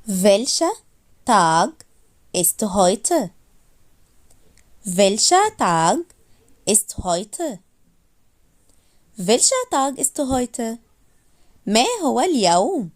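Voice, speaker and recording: A woman teaches beginner phrases in a raised, animated voice. She says each phrase slowly, repeats it, and then gives a quick translation. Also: a little high. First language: Arabic. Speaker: language teacher. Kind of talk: slow phrase drilling for learners.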